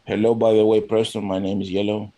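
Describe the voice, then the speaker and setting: A man's voice: on the low side, flat delivery, quick pace, mellow. A native Greek man with a Greek accent, casually hosting a livestream chat. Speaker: livestream host.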